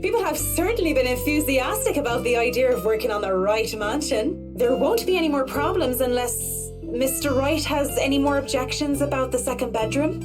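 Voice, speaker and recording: A woman's voice: high-pitched, animated, normal speed, hardly any pauses. An actress playing an enthusiastic, modest professional woman who renovates houses in a video game. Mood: modest, upbeat. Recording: game music behind the voice.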